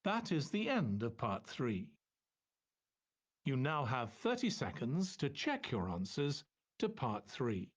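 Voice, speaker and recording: Husky male exam announcer. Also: mid-range voice, wildly swooping pitch, slow. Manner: formal, instructional. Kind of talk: scripted test instructions.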